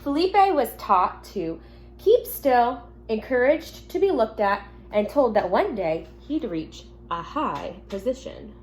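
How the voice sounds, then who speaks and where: A woman teacher speaking gently and simply to young children, reading a picture book aloud at home with casual asides. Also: on the high side, very animated, slow, low-quality recording. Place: at home in an apartment. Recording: noticeable background noise, home recording.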